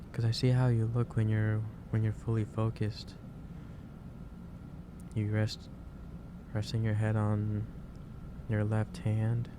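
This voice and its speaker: A man playing a gentle, caring office boss in an ASMR roleplay, speaking in a hesitant, reassuring way. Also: fairly low pitch. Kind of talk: ASMR roleplay, soft improvised monologue. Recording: clear background noise, ambience.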